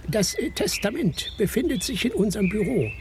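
Stiff, animated elderly male notary. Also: high-pitched, a little fast, slight background noise. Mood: formal, businesslike. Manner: formal, pompous, officious. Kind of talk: German radio play acting.

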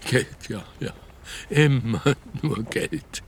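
An actor playing a weary, proud working-class father, grumbling gruffly and haltingly in a naturalistic radio drama. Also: medium pitch, animated, a little hiss or hum. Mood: bitter, weary.

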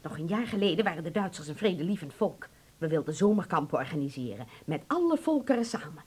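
A woman's voice: lowish voice, very animated, fast, darkish tone, breathy edge. An actress playing an earnest, idealistic mother in a radio drama, emphatic and reproving.

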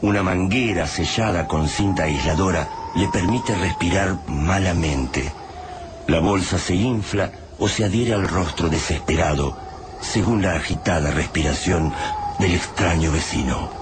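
An actor playing a grave chronicler, narrating an apocalyptic radio drama in a scripted, dramatic style with an Argentine accent. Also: male voice, sits low, a little slow. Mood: grave, tense. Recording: narration over loud noisy soundscape.

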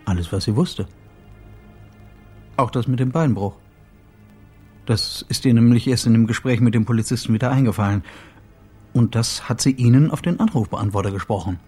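An actor playing a sober, bereaved husband in a radio play, speaking in a subdued, matter-of-fact and slightly halting way. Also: male voice, medium pitch, dark tone, breathy edge, faint music behind, natural voice. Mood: sober, informative. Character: bereaved husband, a sober man reporting his wife's death.

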